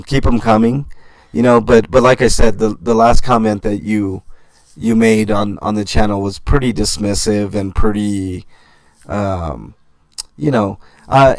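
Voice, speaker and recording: A man hosting a casual livestream, reading viewer comments and answering in a halting way with many fillers and restarts. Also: on the low side, darkish tone, dry recording.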